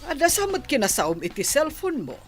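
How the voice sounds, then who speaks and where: A woman's voice: sits high, sing-song, a little fast, faint music behind. A woman acting as a nervy elderly grandmother in an Ilocano radio drama, questioning and easily startled. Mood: scolding. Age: older.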